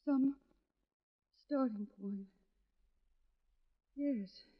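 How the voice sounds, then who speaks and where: A woman's voice: high voice, very slow, exaggerated voice. An actress playing an anguished, overwrought young woman in a 1940s radio mystery. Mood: haunted, wistful. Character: anguished young woman fleeing a lonely island. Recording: muffled vintage radio recording.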